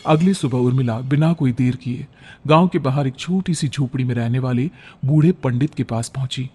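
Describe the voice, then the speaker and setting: A man's voice: medium pitch, moderate pace, mellow, husky. A man reading a scripted horror story as a dramatic narrator. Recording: background music under the voice.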